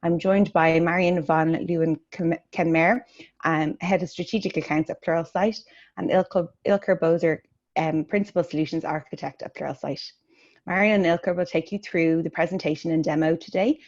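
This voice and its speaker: A woman hosting a business webinar on a video call, giving brisk, formal introductions. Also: on the low side, fairly even intonation, a little mellow. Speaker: webinar host. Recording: muffled lo-fi call audio.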